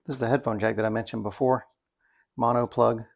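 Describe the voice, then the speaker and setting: A man with a medium-pitched voice that has plenty of gravelly fry talks through a product demonstration. He is casual and unscripted, thinking out loud. His manner is folksy and dryly wry. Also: little pitch movement, fairly quick. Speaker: product reviewer.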